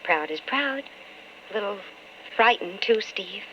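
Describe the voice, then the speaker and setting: An actress playing an anxious, brooding politician's wife in a wistful, dramatic old-time radio style. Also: female voice, medium pitch, expressive, measured pace, bright, forceful tone, slight background noise. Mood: dutiful, uneasy. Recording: muffled old radio recording.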